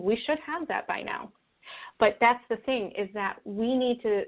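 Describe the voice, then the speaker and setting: A woman with a mid-pitched voice comes through a narrow, phone-like connection. She talks earnestly as an interview guest, in a reflective, halting way, often rewording as she goes. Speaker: interview guest, spiritual video creator. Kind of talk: earnest conversational interview talk. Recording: tinny phone-like call audio.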